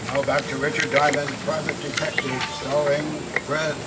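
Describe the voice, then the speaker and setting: A man's voice: on the high side, little pitch movement, moderate pace. A man announcing in an emphatic, formal vintage radio commercial style. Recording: very noisy old radio broadcast.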